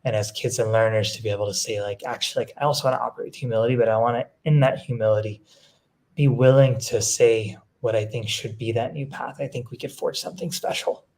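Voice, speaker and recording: A man speaking as an education leader on a panel, reflective and measured, pausing between phrases as he thinks. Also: medium pitch, fairly even intonation, a little mellow. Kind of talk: thoughtful panel discussion.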